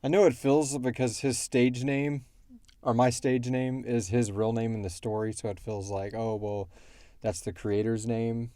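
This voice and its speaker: A man's voice: medium pitch, steady tone, measured pace, dark tone, dry recording. A man hosting a casual question-and-answer podcast, talking in an informal, joking, slangy way. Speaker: podcast host and show creator. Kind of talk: casual Q&A discussion.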